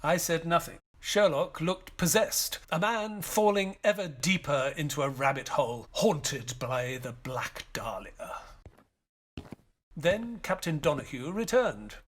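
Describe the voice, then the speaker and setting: An actor playing a loyal, melancholy doctor, narrating an audio play in a measured, wistful manner. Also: male voice, on the high side, animated, fairly bright tone. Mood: grave. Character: British doctor, loyal, melancholy friend narrating his memories.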